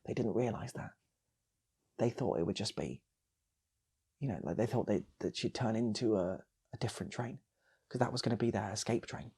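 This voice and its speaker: A man's voice: on the low side, moderate pace, long pauses, mellow, slightly rough. A man telling an improvised comic story in a loose, rambling way, repeating phrases as he goes. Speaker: storyteller and channel host.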